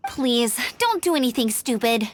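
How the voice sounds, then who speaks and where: A woman playing a dutiful, brisk young female knight in a video game, speaking formally and articulately. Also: on the high side, very animated, no room sound. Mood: firm.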